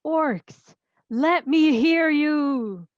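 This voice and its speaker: A woman's voice: sits high, expressive, very slow, dry recording, lo-fi sound, exaggerated voice. A woman playing a villainous, contemptuous orc queen with a commanding, grandiose manner in a fantasy comedy read.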